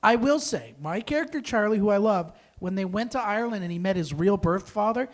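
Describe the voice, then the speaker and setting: A man in casual live stage chat, teasing and joking as a panelist with an animated delivery. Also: high-pitched, a little fast. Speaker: performer and panelist at a live table read. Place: small live venue, on stage.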